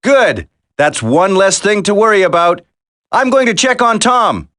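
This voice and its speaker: Earnest soldier, expressive delivery. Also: male voice, sits high, measured pace, no room sound, clean studio sound. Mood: focused. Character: steady young male special-forces soldier, earnest and determined. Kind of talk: dramatic video game voice acting.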